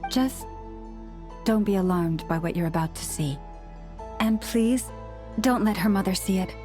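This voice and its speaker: Woman as a slow, solemn, gentle priestess. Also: medium pitch, over music, close to an everyday voice. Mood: quietly urgent. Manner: measured, solemn, formal. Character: gentle, solemn young female priestess leader, burdened and devoted. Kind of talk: video game voice acting.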